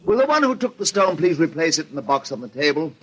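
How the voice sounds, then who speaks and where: An actor playing an indignant, commanding, wealthy party host in a 1940s radio mystery. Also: male voice, fairly high pitch, sing-song, fast talker, a bit bright, slightly rough, exaggerated voice. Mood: alarmed, indignant. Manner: commanding, stern, theatrical delivery. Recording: vintage 1940s radio recording with noise.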